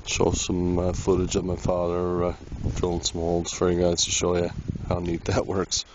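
Low gravelly man, windy outdoor camera. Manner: relaxed, halting, many "uh" fillers. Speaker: outdoor vlogger. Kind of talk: casual narration to camera while ice fishing. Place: outdoors on a frozen lake, windy. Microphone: handheld camera mic. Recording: wind noise on a lo-fi camera mic.

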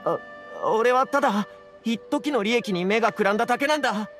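A man's voice: very high-pitched, a little fast, a bit bright, faint music behind. A man playing a nervous, flustered scholar in an anime game, with a pleading manner. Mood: anxious, defensive. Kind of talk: Japanese anime game voice acting.

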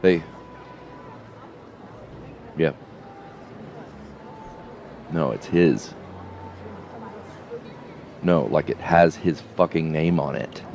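An actor playing an insinuating, falsely friendly space-station policeman, drawling and halting as he needles, in an audio drama. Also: extremely low pitch, creaky, darkish tone, slight background noise, exaggerated voice. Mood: needling.

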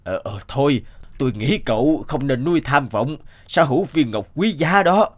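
An actor playing a stout, middle-aged connoisseur in an animated fable, grave and admonishing, explaining in measured phrases. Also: male voice, mid-range voice, close to an everyday voice. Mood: cautioning.

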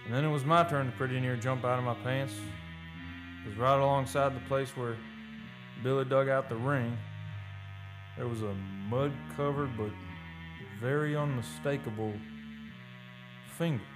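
A man's voice: mid-range voice, fairly even intonation, unhurried, long pauses, over music, lightly acted, poor audio. An actor playing a plain-spoken oil-rig worker in a live old-time radio play, talking in a folksy, conversational way as he tells his tale. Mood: hushed wonder.

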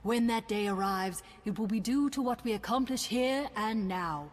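A woman's voice: fairly high pitch, normal speed, natural voice. A woman playing a bold, ruthless female admiral in a video game, commanding and formal, with a sardonic edge. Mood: rousing command. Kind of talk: video game cutscene acting. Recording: orchestral game music behind.

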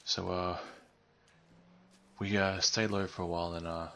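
A man telling a story as commentary over game footage, halting and hesitant as he goes. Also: sits low, flat delivery, bright, forceful tone. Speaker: narrator, gamer.

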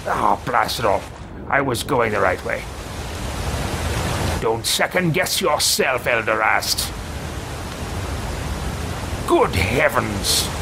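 A man's voice: medium pitch, creaky, background noise, pitched below the performer's own voice, exaggerated voice. A man in his thirties voicing a pompous, scheming mage who mutters grandly to himself, improvising fantasy video game role-play. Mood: bitter, self-scolding. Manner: theatrical, grandiose, muttering with hesitations. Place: small indoor room with shelves.

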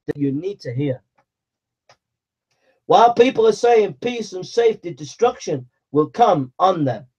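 A man's voice: high voice, darkish tone, highs cut off. A man preaching a fiery, confrontational sermon, scolding his listeners with urgent, repetitive calls to repent.

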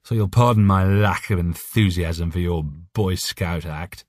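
A man's voice: sits low, a little slow, darkish tone, no echo, studio quality. A man voicing a drunk, sardonic, cynical male scientist in an audiobook story, rambling and pitying. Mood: morbid, bitter.

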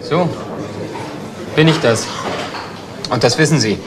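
An actor playing a young homeless petty crook, defensive and evasive, halting and repeating himself in a crime radio play. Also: male voice, mid-range voice, natural voice. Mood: wary, defiant. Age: young adult. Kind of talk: German radio crime drama acting. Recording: noisy retro radio drama recording.

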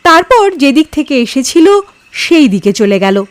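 High-voiced, expressive woman storyteller. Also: unhurried, dry recording. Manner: expressive, dramatised reading. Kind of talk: scripted ghost story narration.

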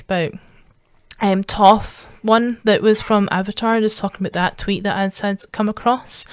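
A woman's voice: medium pitch, clear, resonant tone, no echo, clean studio sound. A young woman with an Edinburgh accent chatting casually to her viewers, rambling with lots of fillers and restarts. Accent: Edinburgh Scottish. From Scotland. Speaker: streamer.

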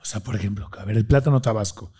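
A man's voice: lowish voice, warm tone, breathy edge. A man in his thirties with a Mexican accent, a guest speaking passionately in a studio interview with a desk microphone. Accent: Mexican Spanish. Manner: passionate, gestures a lot, lists things rapidly. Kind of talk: animated interview conversation. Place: studio with blue-lit curtains. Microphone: studio mic on a desk stand.

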